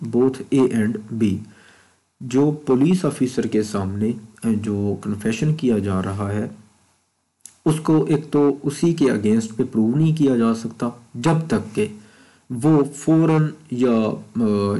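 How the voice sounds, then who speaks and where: Man, Pakistani accent, test-prep instructor, slow delivery, mellow tone, Urdu mixed with English. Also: mid-range voice. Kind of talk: explanatory lesson, reading out quiz questions and answers.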